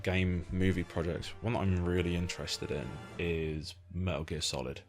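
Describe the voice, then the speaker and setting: Deep-voiced British man chatting casually. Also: fairly even intonation, normal speed. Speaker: interviewer. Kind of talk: casual chat interview. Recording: lo-fi amateur setup with faint music.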